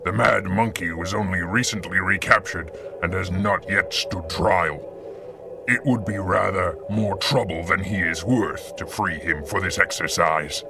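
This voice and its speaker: An actor playing a grave, commanding villain mastermind in a 1930s-style radio serial, solemn, theatrical and deliberate. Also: male voice, low voice, music in the background. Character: grave, commanding male supervillain mastermind leading a secret cabal.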